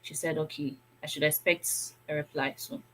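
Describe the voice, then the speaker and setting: A woman's voice: low voice, steady tone, normal speed, fairly bright tone, slight background noise, low-quality recording. Young Ghanaian woman chatting informally. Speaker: guest, international student. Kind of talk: casual chat recounting personal experience.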